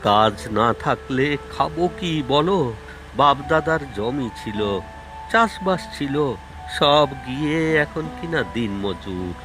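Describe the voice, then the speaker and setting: Worried, humble cartoon labourer. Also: male voice, mid-range voice, expressive, slow, over music. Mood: worried, resigned. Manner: plaintive, folksy. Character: worried, poor labourer father, humble man. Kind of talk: cartoon voice acting.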